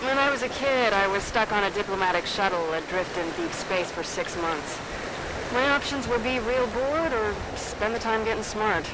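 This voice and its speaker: Actress as a brisk, keen female soldier. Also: medium pitch, animated, very noisy, lightly acted. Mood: curious, matter-of-fact. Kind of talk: video game cutscene acting. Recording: very loud vehicle and ambient effects behind.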